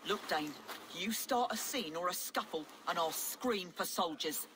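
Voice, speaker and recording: An actress playing a suspicious, hard-nosed medieval village alewife in a game cutscene, with a curt, colloquial manner. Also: female voice, fairly low pitch, expressive, a little slow, noisy background. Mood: wary, bargaining. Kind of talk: dramatic game cutscene acting.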